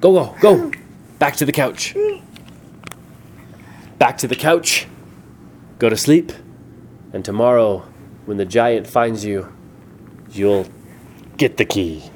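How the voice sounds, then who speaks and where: A man's voice: fairly low pitch, takes it slowly, long pauses, a little hiss or hum. A man voicing a menacing rat from a gang in a children's story, insistent and commanding, repeating orders. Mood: bossy. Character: bossy, menacing gang of big rats.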